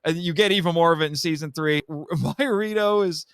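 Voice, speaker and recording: A man's voice: fairly high pitch, normal speed, a bit bright, clean tone, no echo, studio quality. A man chatting casually as a podcast guest, telling things in a rambling, theatrical way.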